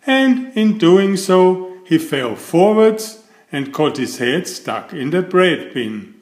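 A man reads a picture book aloud to children. His delivery is slow and emphatic, with very lively swings of pitch. The room adds a noticeable echo. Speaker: storyteller.

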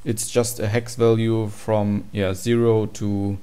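A man's voice: on the low side, level tone, takes it slowly, warm tone, clear, resonant tone. A man giving an informal, matter-of-fact tutorial walkthrough of program code. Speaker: tutorial presenter.

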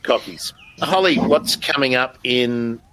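A man's voice: medium pitch, slow pace, pushed and bright. Aussie guy hosting a livestream, chatty and casual, pokes fun at himself. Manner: chatty, self-deprecating. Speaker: live stream host. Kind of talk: casual chat.

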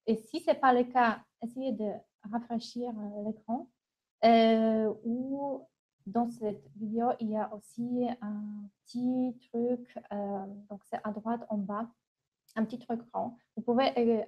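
A middle-aged woman from Poland with a Polish accent, presenting an informal webinar in a halting, conversational way. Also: on the high side. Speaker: university international relations manager, webinar presenter. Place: small office, indoors. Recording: dull, lo-fi webcam audio.

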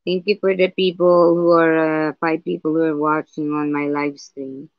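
A woman with a husky voice hosting a live painting tutorial, talking slowly and hesitantly with many fillers and restarts. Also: low-pitched, flat delivery, dry recording. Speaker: art livestream host. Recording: dull lo-fi livestream audio.

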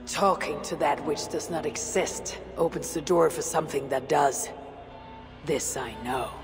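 A woman playing a stern, honor-bound Viking leader, speaking in a measured, grave way. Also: sits low, steady tone, creaky, big-room sound, over music.

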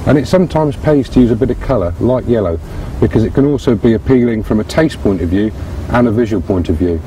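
A man's voice: medium pitch, fast talker. A man, hosting and demonstrating fishing tactics step by step outdoors on a lakeside bank. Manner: steady explanatory, talks through hands-on steps. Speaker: host presenting fishing tactics. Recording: distant outdoor mic with faint background noise.

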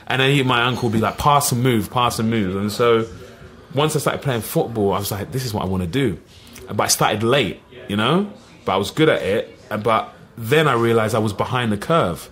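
A middle-aged man with an East London accent, telling lively stories as a podcast guest, full of "you know what I mean". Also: medium pitch. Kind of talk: casual storytelling.

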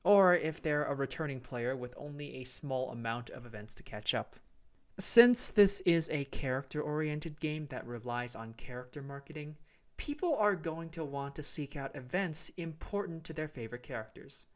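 A man's voice: fairly high pitch, lively intonation, moderate pace. A man hosting a video game review, talking in a casual, opinionated way with conversational, emphatic phrasing.

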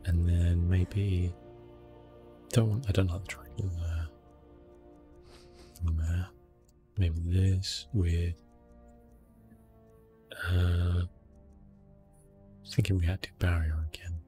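A man giving soft, hushed ASMR gameplay commentary in a relaxed, unhurried manner. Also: low-pitched, music in the background. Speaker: gaming streamer, host.

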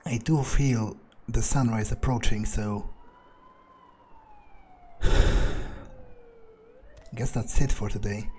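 A man's voice: on the low side, fairly even intonation, takes it slowly, long pauses, dark tone, a little hiss or hum. A man roleplaying as he plays a game, thinking aloud in first person with casual swearing. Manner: musing, sweary. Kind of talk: in-character first-person musing over gameplay.

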